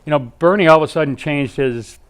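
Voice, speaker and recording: Clear-voiced middle-aged man, rambling storyteller. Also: fairly high pitch, fairly quick. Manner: rambling, repeats phrases, chuckles often. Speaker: guest, sports superfan. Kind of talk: casual anecdotal storytelling.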